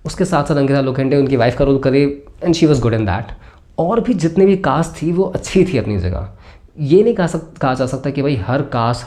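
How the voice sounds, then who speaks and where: A man with a mellow, relaxed voice and an Indian accent talks through a film review. He explains his points conversationally as the host, slipping into English phrases along the way. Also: mid-range voice.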